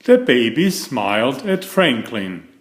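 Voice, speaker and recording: Male storybook reader, unhurried pace, very varied intonation, deliberate, roomy echo. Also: mid-range voice, very animated. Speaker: storybook reader and children's author. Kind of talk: read-aloud children's story narration.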